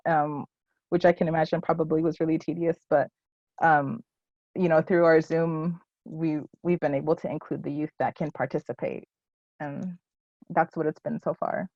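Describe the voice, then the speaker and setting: A woman with a lowish voice presents her project and fields questions, pausing often on ums and restarting her sentences. The sound is dry and close, with the dull, muffled quality of a video call. She speaks in a casual, unpolished way. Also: a little mellow, dry recording. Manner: hesitant, many ums and restarts. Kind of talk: casual presentation at a virtual symposium.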